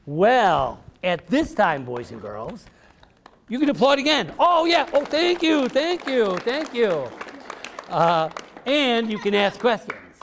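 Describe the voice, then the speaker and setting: A man's voice: sits high, big pitch swings, slow pace, creaky, a bit bright, some chatter behind, a little hiss or hum. An American man, an author, giving an encouraging, theatrical storytelling talk to children in simple, emphatic phrases. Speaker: author and speaker. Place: public library event before children.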